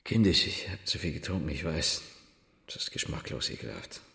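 An actor playing a tormented, self-reproaching husband in a German radio drama, halting and brooding with bitter outbursts. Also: male voice, very deep voice, sing-song, vocal fry, pushed and bright, heavily acted. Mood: drunken, despairing.

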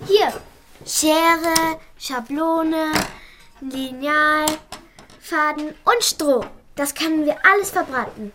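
Cheeky schoolgirl friend for children's radio play: very high voice, confident, brisk, teasing. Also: background noise. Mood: brisk, instructive.

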